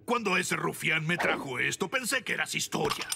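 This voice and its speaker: A man with a Latin American Spanish accent voicing a grumpy, feisty old inventor in a cartoon dub, gravelly voiced. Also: on the high side, animated, moderate pace, slight background noise, full character voice. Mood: irritated. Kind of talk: animated series dubbing. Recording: loud music behind.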